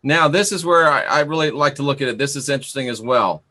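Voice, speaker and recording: A man with a bright, forceful voice at a middling pitch, hosting an informal live talk. He thinks out loud in a conversational, opinionated way. He tends to ramble and circles back to repeat his points for emphasis. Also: fast talker. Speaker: host of an esoteric talk livestream. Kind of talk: informal live commentary, reading aloud and musing to viewers.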